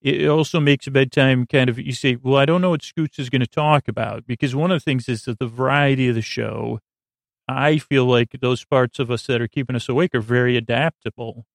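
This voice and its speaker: Creaky, meandering male sleep-podcast host. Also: medium pitch, fairly even intonation, fairly quick, no room sound, studio quality. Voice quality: creaky, soothing drawl. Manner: meandering, self-interrupting, wry. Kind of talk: rambling late-night monologue.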